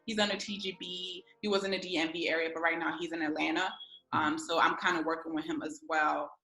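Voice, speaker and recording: A woman's voice: deep voice, flat delivery, quick pace, bright, forceful tone, roomy sound, faint music behind. A woman in her twenties from Ghana, chatting casually as a guest over a video call, with lots of fillers and slang. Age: 20s. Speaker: guest, publicist.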